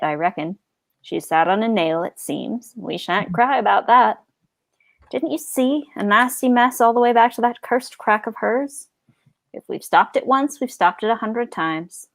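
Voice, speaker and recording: A woman voicing a rough, boastful male orc captain with grunting, colloquial speech for a fantasy storybook. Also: medium pitch, expressive, measured pace, few pauses, warm tone. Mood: scornful, gloating.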